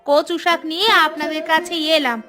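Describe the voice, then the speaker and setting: Woman acting a high-voiced, sharp-tongued village wife. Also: steady tone, fairly quick, faint music behind. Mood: friendly. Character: sharp-tongued but caring younger village wife and mother. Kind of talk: cartoon voice acting.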